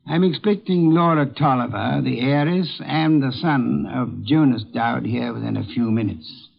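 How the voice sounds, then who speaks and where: An actor playing a pompous, dry detective boss who speaks formally and deliberately, in 1950s radio drama style. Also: male voice, mid-range voice, vocal fry, slightly rough, heavily acted. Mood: testy, commanding. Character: gruff, pompous armchair detective, a male boss who loves beer. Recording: dull, muffled 1950s radio recording.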